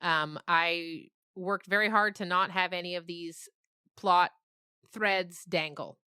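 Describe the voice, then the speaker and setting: A woman speaks in a casual interview, explaining her creative work in a thoughtful way. Her voice sits fairly low but is clearly bright and forceful. The sound is close and dry, with little room around it. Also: steady tone, slow pace, dry recording. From grew up on a farm. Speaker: interviewed writer of a fiction podcast.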